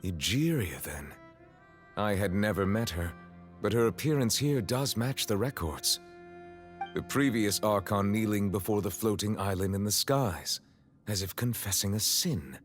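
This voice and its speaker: A deep, solemn formal judge. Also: male voice, expressive, measured pace, faint music behind. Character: grave, formal male chief justice. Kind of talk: video game dialogue.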